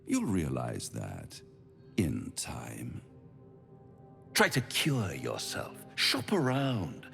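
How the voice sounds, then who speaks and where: A low-voiced, smug devil from a video game, with hugely swooping intonation. He is pompous and silky, savoring every phrase at a slow pace as he tempts and threatens. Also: wildly swooping pitch, creaky, fairly bright tone, big-room sound, faint music behind, heavily acted. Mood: scornful. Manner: pompous, silky, teasing, florid delivery. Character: suave, theatrical male devil, smug, menacing tempter. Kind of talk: theatrical video game acting.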